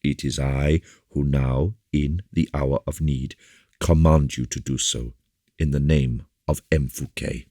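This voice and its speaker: A man voicing a solemn, commanding bishop who speaks with grave, measured courtesy in an audiobook. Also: very deep voice, lively intonation, no room sound, clean studio sound. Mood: solemn appeal. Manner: grave, measured rhetoric with repeated appeals. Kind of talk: audiobook character dialogue.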